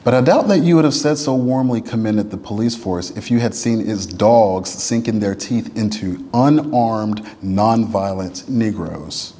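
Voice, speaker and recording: A man reads a written letter aloud in a solemn, oratorical style, with deliberate, emphatic phrasing and slowed, falling endings to phrases. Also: on the low side, warm tone. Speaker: reader at a church service. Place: church sanctuary. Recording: long reverb of a large hall.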